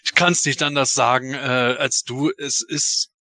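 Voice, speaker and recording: A man's voice: medium pitch, steady tone, measured pace, pushed and bright, dry recording. A man chatting casually and effusively as a panelist on a fan podcast, speaking with emphasis.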